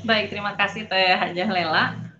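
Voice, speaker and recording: A woman's voice: mid-range voice, fairly even intonation, moderate pace, bright, forceful tone. A woman with an Indonesian accent, a guest speaker informally recounting and explaining personal experiences. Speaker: guest speaker, former student abroad. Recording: slightly dull, lo-fi stream audio.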